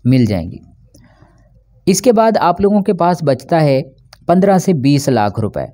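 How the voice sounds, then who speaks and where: A man, hosting a business channel and narrating an explainer video as a voice-over, speaking directly to his viewers with frequent drawn-out filler sounds. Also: fairly high pitch, dark tone.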